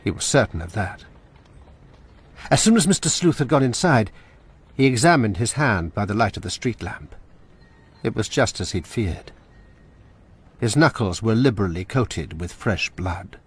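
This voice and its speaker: A man narrating a radio drama in a measured, grave manner. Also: on the low side, expressive, husky. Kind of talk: scripted narration.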